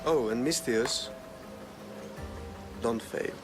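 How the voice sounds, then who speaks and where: An actor playing a wealthy, shady schemer in a video game, speaking in a measured, cryptic and condescending way. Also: male voice, medium pitch. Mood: businesslike, sly. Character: shady wealthy male schemer, smooth, calculating and haughty. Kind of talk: dramatic video game dialogue. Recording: music playing under the dialogue.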